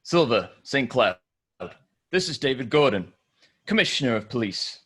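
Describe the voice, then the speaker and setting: A man playing a suave, courteous millionaire in a script table read, measured and polite. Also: medium pitch, very animated, a bit bright, husky.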